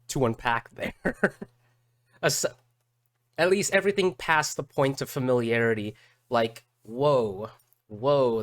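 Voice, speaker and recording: A man doing casual live reaction commentary as a streamer, halting and repeating words as he thinks aloud. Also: mid-range voice, fairly bright tone, dry recording. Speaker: streamer reacting to game videos.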